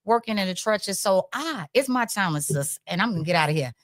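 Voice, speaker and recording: An American woman hosts a casual community talk show in a medium-pitched voice. Her delivery is emphatic and informal, speaking straight to the audience. The sound is close and dry. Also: animated, fairly quick, no echo.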